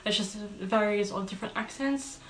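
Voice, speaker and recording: A woman's voice: medium pitch, normal speed, a bit bright. A woman giving casual, chatty and rambling commentary as a reaction video host. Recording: roomy echo, distant mic, faint music.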